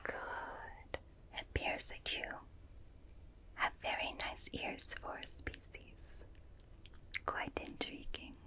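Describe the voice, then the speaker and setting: A woman voicing a gentle, curious alien examiner in an ASMR roleplay, speaking in formal, clinical phrases in a breathy whisper, close to a binaural microphone. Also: lightly acted. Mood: curious, soothing. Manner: slow, clinical, fascinated, formal stilted phrasing.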